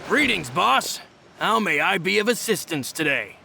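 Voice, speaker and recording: A bright-voiced, loyal henchman. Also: sits high, sing-song, creaky, a little hiss or hum, exaggerated voice. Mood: dutiful, dry. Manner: deferential, deliberate, formal. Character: loyal male subordinate bodyguard, earnest and measured. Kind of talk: game cutscene dialogue.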